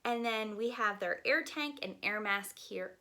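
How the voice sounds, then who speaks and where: A woman's voice: medium pitch, measured pace, bright, forceful tone. A woman preschool teacher hosting a read-aloud story time, speaking in simple phrases to young children.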